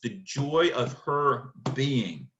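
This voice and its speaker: A man's voice: medium pitch, very animated, very slow, a bit bright, lo-fi sound, a little hiss or hum. A man giving a literary analysis lecture in an emphatic, dramatic manner, posing questions and answering them himself.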